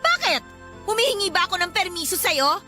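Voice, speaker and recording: Very high-pitched, snappish Filipino girlfriend. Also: very animated, a little fast. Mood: defiant, irritated. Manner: snappish, scolding, exasperated. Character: irritable, fed-up live-in girlfriend, sharp-tongued and cold. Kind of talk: Filipino radio drama acting. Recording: music behind the voice.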